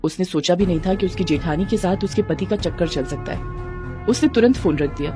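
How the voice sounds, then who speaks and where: A woman's voice: lowish voice, a little fast, music in the background. A woman reading a scripted story as its narrator.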